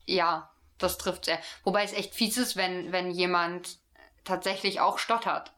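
A woman's voice: fairly low pitch, steady tone, a little slow, pushed and bright. A young woman co-hosting a podcast in casual chat, mumbling a bit, laughing and self-mocking. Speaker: podcast co-host.

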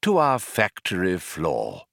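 A man's voice: deep voice, wildly swooping pitch, vocal fry, a bit bright, dry recording, studio quality, voice pushed down, heavily acted. Man voicing a courteous, lilting old alien craftsman. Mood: welcoming. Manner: polite, mild, cheerful, unhurried understatement. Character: courteous old male alien planet builder, mildly proud. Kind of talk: audiobook character voice.

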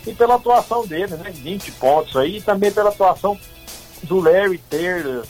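A man's voice: high-pitched, animated, moderate pace, darkish tone, soft background music. Easygoing radio sports commentator, man.

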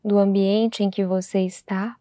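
A Brazilian woman leading a guided meditation in a gentle, unhurried way, leaving long pauses between phrases. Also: mid-range voice, little pitch movement, dry recording, clean studio sound.

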